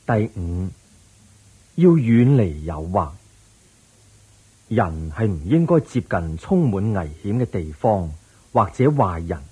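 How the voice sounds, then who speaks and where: A serene, wise Buddha in a radio drama, preaching in Hong Kong Cantonese. The voice is low and very husky, unhurried and solemn, with drawn-out phrases. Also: male voice, big pitch swings, darkish tone, exaggerated voice. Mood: solemn teaching. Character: serene, wise Buddha patiently teaching his disciples.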